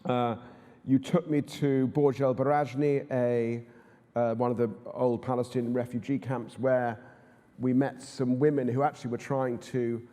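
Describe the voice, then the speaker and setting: A man interviews a guest in a conference hall, and long reverb hangs after his words. His voice is mellow and mid-pitched. He talks haltingly, with a lot of hesitation. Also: little pitch movement. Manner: hesitant, many fillers and restarts. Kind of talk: onstage conversation.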